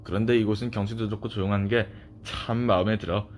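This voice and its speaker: Man as a calm, quiet wandering rat. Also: on the low side, a little fast, slight background noise, natural voice. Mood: thoughtful. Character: gentle, wise, well-travelled wandering male rat.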